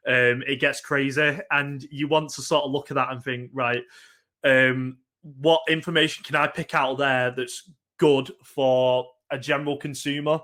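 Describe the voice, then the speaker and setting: A young man from Manchester with a Mancunian accent, giving a casual workshop talk on getting into esports. He pauses often with ums and repeats words as he thinks. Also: medium pitch, flat delivery, bright, forceful tone. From Northern England, Manchester.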